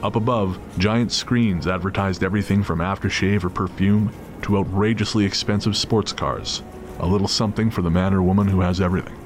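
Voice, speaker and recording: A man's voice: sits low, steady tone, fairly quick, music in the background. A man narrating a scripted audio drama as storyteller.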